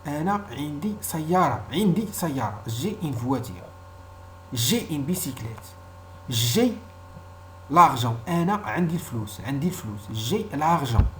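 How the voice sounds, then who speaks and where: A man's voice: on the high side, lively intonation, a little fast, fairly bright tone, slight background noise. A man with a Moroccan accent, a native Arabic speaker, giving an informal language lesson as a teacher, explaining didactically and repeating example phrases.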